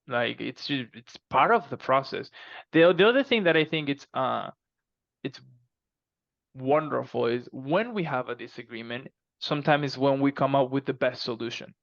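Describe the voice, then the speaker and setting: Man, interview guest, animated and emphatic, conversational, some vocal fry, close dry but slightly muffled recording. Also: medium pitch, measured pace, no room sound. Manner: animated extrovert, emphatic, repeats himself. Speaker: author, podcast guest. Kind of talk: casual conversational interview answers.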